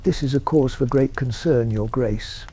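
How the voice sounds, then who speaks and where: A man voicing a sly, cunning male spymaster who speaks in a hushed, conspiratorial way, in an ASMR roleplay story. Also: mid-range voice, moderate pace, breathy edge, voice pushed up, natural voice.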